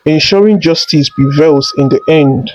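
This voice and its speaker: A man voicing a gentle, patient ghost in a storybook tale, speaking in a measured, counselling way. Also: on the high side, lightly acted. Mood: calm, reassuring. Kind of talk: storybook character acting. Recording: dull lo-fi audio over music.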